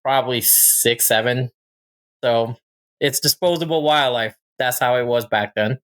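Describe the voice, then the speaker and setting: Male podcast guest from Thailand, mid-pitched, casual storyteller. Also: unhurried, a bit bright. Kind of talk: casual personal storytelling and chat.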